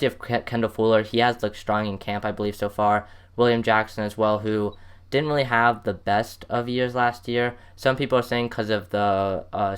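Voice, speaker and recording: A man's voice: lowish voice, smooth. An American man hosting a sports show in a casual, conversational interview style.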